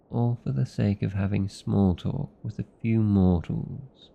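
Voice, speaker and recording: A British man playing a protective, anxious vampire lover in a romantic ASMR roleplay, speaking formally and earnestly with deliberate pauses. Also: deep voice, gravelly, mellow, highs cut off, heavily acted. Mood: exasperated. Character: protective, anxious old vampire lover, tender yet arrogant.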